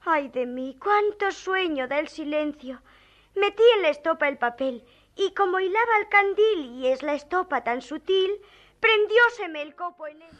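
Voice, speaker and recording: A woman's voice: extremely high pitch, animated, moderate pace, fairly bright tone. An actress playing a naive young woman in a classical verse comedy, in vintage radio theatre style. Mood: plaintive, naive. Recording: dull archive radio recording. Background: faint music.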